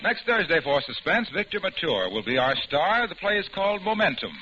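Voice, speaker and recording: A man with an American accent working as announcer and pitchman, reading a script in 1940s radio style. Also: very animated, a little fast, over music. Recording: muffled, noisy vintage radio broadcast.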